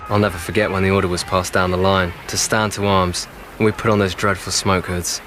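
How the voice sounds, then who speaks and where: Low-voiced young British soldier, battle noise behind male actor. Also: level tone, a little fast, lightly acted. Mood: rueful, reminiscing. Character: young British WWI infantryman recalling battle, awed and rueful. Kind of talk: audio drama monologue. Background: artillery fire, outdoor ambience.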